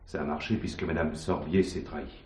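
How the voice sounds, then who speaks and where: A calm, reassuring man offering apologies in a theatrical mystery. His very low voice echoes around the room, with faint noise behind it. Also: fairly quick. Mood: apologetic, reassuring. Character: apologetic, calm man explaining a staged ruse. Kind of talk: French stage mystery acting. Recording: echoey, dull stage sound, faint noise.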